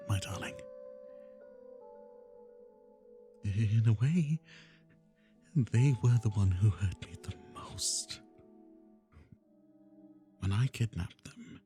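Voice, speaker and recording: Man playing a slow, gravelly yandere kidnapper. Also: lowish voice, mellow. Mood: eerie tenderness. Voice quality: gravelly, often breathy whisper. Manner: slow, confiding, anguished and menacing. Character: obsessive, lovesick male yandere kidnapper, tender yet menacing. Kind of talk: roleplay ASMR monologue, intimate and dramatic.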